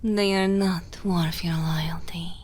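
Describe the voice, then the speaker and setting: A woman playing a dominant, motherly evil queen in a soft ASMR roleplay, speaking formally and intimately, often in a breathy whisper. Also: lowish voice, fairly even intonation. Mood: persuasive, calm. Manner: slow, deliberate, formal phrasing, breathy whispering.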